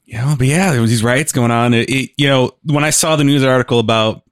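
A man co-hosting a casual podcast, chatting loosely and laughing often while he restarts his thoughts. His voice sits at an ordinary pitch. The recording is close and dry. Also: fairly quick, clean tone, no echo. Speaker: podcast co-host. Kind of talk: casual chat.

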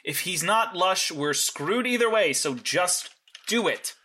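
A male actor plays a commanding vigilante mentor in an audio drama. His lines are short and insistent, in a slightly high voice that keeps control and pushes hard. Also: expressive, a little slow, pushed and bright, heavily acted. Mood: urgent, commanding. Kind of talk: naturalistic audio drama acting.

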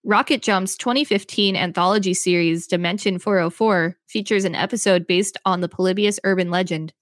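A woman's voice: medium pitch, brisk, no echo, studio quality. A woman co-hosting a podcast, narrating a scripted encyclopedia-style article aloud.

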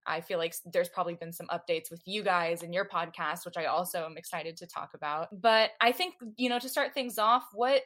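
A woman's voice: on the low side, a little fast, hardly any pauses, fairly bright tone. A woman hosting a podcast, chatting casually as she interviews her guests. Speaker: podcast host.

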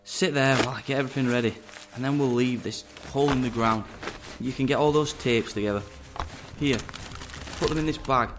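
An actor playing a smug traitor agent in an audio drama, taunting, condescending and possessive. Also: male voice, mid-range voice, fairly quick, noisy background, lightly acted. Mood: smug, commanding.

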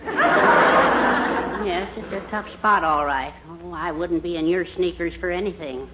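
A woman's voice: on the low side, very animated, takes it slowly. A performer playing a fussy, soothing, fatherly pal in a 1940s radio comedy. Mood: placating. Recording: muffled, noisy 1940s radio recording.

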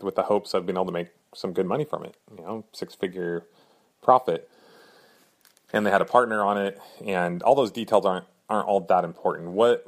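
A man hosting his own podcast in earnest, rambling casual talk, a little hoarse from a cold. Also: low voice. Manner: earnest, rambling, frequent fillers and restarts. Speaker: podcast host.

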